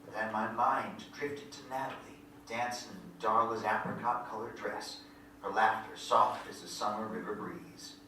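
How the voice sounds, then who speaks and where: A man's voice: lowish voice, fairly even intonation, a little slow, sharp tone. An actor playing an earnest country boy who narrates his memories in a lyrical, reflective, folksy way at a staged reading. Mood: wistful. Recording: echoey hall, distant mic, faint noise.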